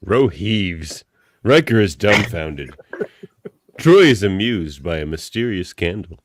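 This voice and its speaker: A man's voice: sits low, big pitch swings, slow pace, background noise. A man narrating a comic radio play, reading out scene directions as a podcast narrator.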